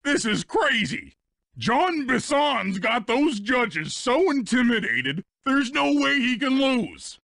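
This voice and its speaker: A man voicing a big, simple, eager hippo sidekick in a video game, with a childlike gravelly voice. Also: sing-song, takes it slowly, bright, forceful tone, no room sound, clean studio sound, exaggerated voice. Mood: worried, eager. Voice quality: gravelly, high. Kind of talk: video game character voice acting.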